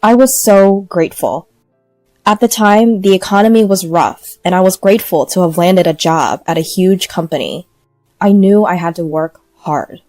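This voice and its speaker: A woman's voice: on the low side, moderate pace, darkish tone, no echo, soft background music. A woman reading a first-person scripted story as narrator.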